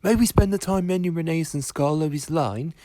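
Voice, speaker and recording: A man's voice: on the high side, moderate pace, dark tone, no echo, studio quality, voice pushed up, natural voice. A man doing an eager little diesel engine. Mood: earnest. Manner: earnest, polite, a little teasing. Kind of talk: storybook character voice.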